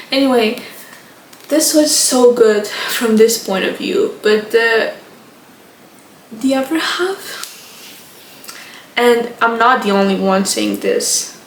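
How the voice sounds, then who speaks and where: Young Moldovan woman, chatty book vlogger, unhurried, roomy echo. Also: on the high side, distant mic, a little hiss or hum. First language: Romanian. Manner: chatty, rambling, frequent restarts and fillers. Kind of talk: casual chat. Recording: echoey room, from off the mic.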